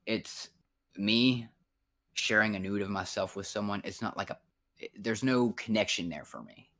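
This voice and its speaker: A man's voice: fairly low pitch, moderate pace, bright, forceful tone. A man in his thirties in a casual voice chat, rambling and frank, swearing often. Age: 30s.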